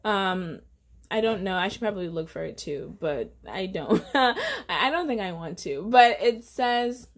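A woman's voice: mid-range voice, expressive, unhurried. A young woman, sharing a casual devotional encouragement to camera at home, earnest and chatty with many fillers. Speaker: faith vlogger. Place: indoor living room at home.

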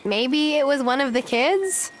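A woman's voice: high voice, expressive, unhurried, a little hiss or hum. A woman voicing a friendly young farm woman in scripted game dialogue. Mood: helpful.

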